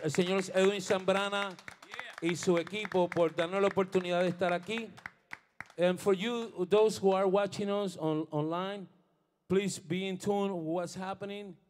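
Guy, middle-aged, kinda high voice, introducing his band on stage into a stand mic, mixing spanish and english, gets preachy. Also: slight background noise. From Puerto Rico. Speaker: bandleader and bassist. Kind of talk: onstage band introductions and spiritual encouragement. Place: indoor studio stage with a band. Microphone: stand microphone while playing bass.

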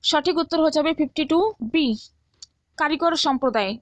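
A woman's voice: high-pitched, moderate pace, no echo. A woman teaching through an exam answer key in an instructional way, often checking in with listeners.

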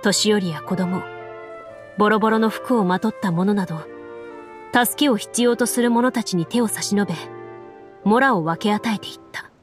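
A woman narrating a story as a game master in a measured, formal manner, like scripted game voice acting. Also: mid-range voice. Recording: soft music under the voice. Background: background music.

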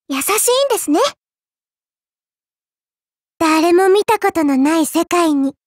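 A woman voicing a childlike, polite but quietly spiteful girl in a game, with a cutely teasing manner. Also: very high-pitched, animated, slow pace, dry recording, studio quality, heavily acted. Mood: playful. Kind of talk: Japanese game character voice lines.

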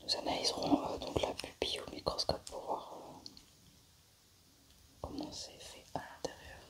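Young woman doing asmr scientist roleplay, breathy whisper, sharp bright sound, slow careful narration. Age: young adult. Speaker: ASMR creator playing a scientist doing an autopsy.

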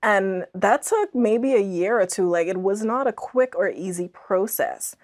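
A woman's voice: mid-range voice, lively intonation, measured pace. A young woman from the Bahamas speaking reflectively and earnestly as a guest in a conversational studio interview. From Andros, Bahamas. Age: young adult. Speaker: podcast guest, author. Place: small studio, seated indoors.

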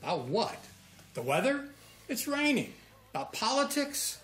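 Sardonic older American man, swooping pitch. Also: big pitch swings, measured pace, a bit bright, exaggerated voice. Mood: irritated, sardonic. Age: 60s. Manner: blunt, sardonic. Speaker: actor. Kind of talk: naturalistic film dialogue.

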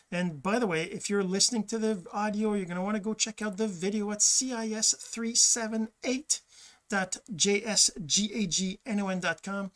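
A man's voice: high-pitched, fairly bright tone. Man, middle-aged, casual host monologue, rambling, self-correcting, desk webcam, small home office. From Quebec, Canada. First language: French. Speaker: podcast host. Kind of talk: casual monologue with screen share.

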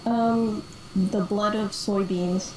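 A woman's voice: mid-range voice, steady tone, takes it slowly, darkish tone. A hesitant, giggly woman chatting casually as a caller, sounding a little sick. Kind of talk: casual chat. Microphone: voice call. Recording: noisy internet voice call.

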